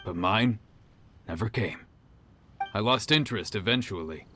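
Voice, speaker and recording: A humble, earnest fighter with a bright, tense edge to his plain, quiet speech. Faint noise sits under him. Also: on the low side, measured pace. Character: humble male fighter, earnest and tired after losing.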